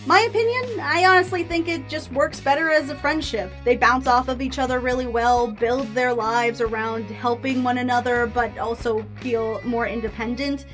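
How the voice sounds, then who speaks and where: A woman's voice: a little high, moderate pace, few pauses, fairly bright tone. A woman giving opinionated fan commentary in a conversational, self-questioning way, as the host of a video essay. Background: background music.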